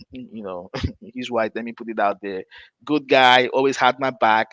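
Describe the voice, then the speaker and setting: A man raised in Nigeria tells his story on a podcast at a mid pitch, in a relaxed, meandering way. He often stops to correct himself and laughs lightly between points. The sound is dry and close, with no room echo. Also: a little slow. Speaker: podcast host. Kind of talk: casual storytelling chat.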